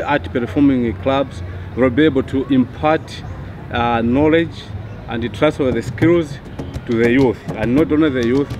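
Middle-aged man, mid-pitched, halting short phrases, outdoor interview, background music. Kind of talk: documentary interview. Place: outdoors in a yard.

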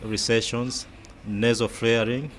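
A man narrating a scripted medical training film in a measured, step-by-step way. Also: medium pitch, level tone. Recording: faint background noise.

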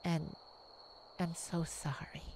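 A woman playing a tenderhearted warrior mage in a soft ASMR romantic roleplay, speaking in a hushed, soothing, halting way. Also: fairly low pitch, level tone, slight background noise, low-quality recording. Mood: tender, worried. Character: tenderhearted young warrior mage comforting a werewolf lover. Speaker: audio roleplay performer.

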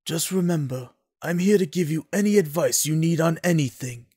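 A fatherly lord from an anime audio drama played by a man. He is earnest and kind, with a fairly high voice for a man, recorded dry. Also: moderate pace, darkish tone, slightly rough, no echo. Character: kindly, earnest noble uncle and lord.

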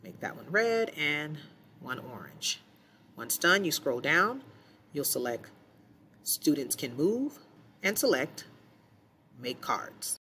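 A woman teacher giving clear step-by-step instructions in a screen-recorded software tutorial, voiced over the screen. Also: deep voice, big pitch swings, slow, long pauses, slight background noise.

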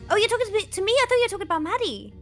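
A woman's voice: very high-pitched, very animated, very fast, soft background music, full character voice. A woman playing a curious, insistent, not-quite-human girl student who blurts out questions in a Minecraft roleplay.

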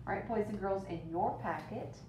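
A woman teacher reading a picture book aloud to children in an expressive, deliberate way, with brief asides. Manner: expressive, deliberate storytelling for young listeners. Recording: roomy, distant mic with rumbling noise.

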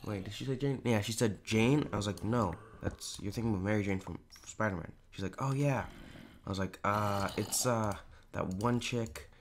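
A teenage boy with a raspy, hoarse voice, rambling casually over a Minecraft game with lots of fillers. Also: fairly low pitch, normal speed, a little hiss or hum. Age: teens. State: hoarse, clearing throat. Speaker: gaming YouTuber. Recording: home recording with clear keyboard clicks.

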